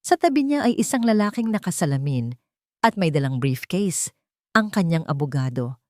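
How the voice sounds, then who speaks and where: Lower-pitched woman narrating a drama. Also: sing-song, normal speed, mellow, no room sound, clean studio sound. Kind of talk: scripted dramatic story narration.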